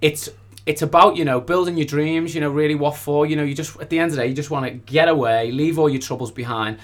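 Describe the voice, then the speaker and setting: A man's voice: on the high side, steady tone, fast talker. A man speaking as a singer-songwriter guest in a casual interview, effusive and rambling, often repeating his phrases.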